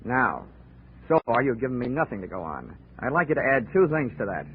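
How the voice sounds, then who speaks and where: An actor playing a wisecracking, unflappable investigator in a clipped, wry 1940s radio drama style. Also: male voice, mid-range voice, sing-song, fairly quick, slight background noise, lightly acted. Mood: brisk, cool. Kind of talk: 1940s radio acting, detective narration. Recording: muffled old radio recording, music behind.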